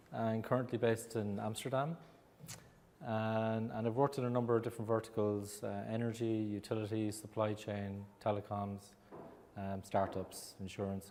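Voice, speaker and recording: A man's voice: mid-range voice, a little mellow. Irish guy talking to a room, chatty, lots of ums, bit of hall reverb. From Ireland. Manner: conversational, self-deprecating, many fillers. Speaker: agile coach speaker. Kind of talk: conference talk. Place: meeting room in an office.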